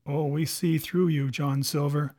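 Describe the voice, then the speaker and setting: A man's voice: on the high side, fairly even intonation, unhurried, mellow. A man voicing an insolent, accusing pirate mutineer in a storybook, with blunt rustic sailor's talk. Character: rebellious, accusing long lean pirate mutineer George Merry. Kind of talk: dramatic audiobook character voice.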